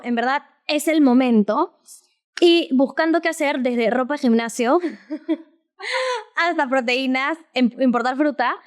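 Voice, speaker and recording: High, clear-voiced chatty woman. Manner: chatty storyteller, laughs often. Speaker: podcast co-host. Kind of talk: casual podcast chat.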